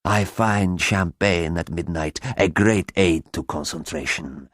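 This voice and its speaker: Guy doing a husky mysterious lady, silky n slow, foreign accent, low melancholy storybook seductress. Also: fairly bright tone, no room sound, clean studio sound. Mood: seductive, mysterious.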